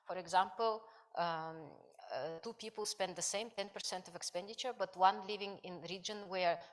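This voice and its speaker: A woman's voice: lowish voice, fairly even intonation, moderate pace. A woman from Kazakhstan, presenting research at a conference, speaking hesitantly with many fillers. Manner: hesitant, many fillers, non-native grammar. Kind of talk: academic conference talk.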